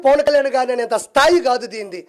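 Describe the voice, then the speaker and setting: A man delivering a mocking political rant in a scornful, insulting, forceful manner. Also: very high voice, rapid-fire, slight background noise.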